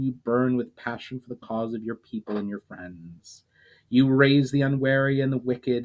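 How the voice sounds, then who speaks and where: A man voicing a solemn male leader who grants elemental powers to his friends, speaking in a measured way. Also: mid-range voice, natural voice.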